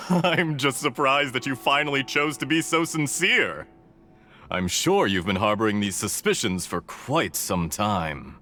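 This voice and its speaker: An actor playing a slyly polite, smug villain in a video game, with a mock-courteous, amused and theatrical manner. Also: male voice, mid-range voice, lively intonation, unhurried, bright, forceful tone, faint music behind. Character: smug, slyly polite male villain posing as foreign mechanic.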